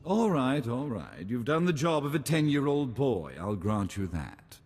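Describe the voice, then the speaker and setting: An actor playing a pompous, easily outraged aristocrat in a video game, speaking with haughty, formal condescension. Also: male voice, mid-range voice, sing-song, unhurried, a little mellow. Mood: grudging, smug. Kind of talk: scripted video game voice acting. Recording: long hall reverb.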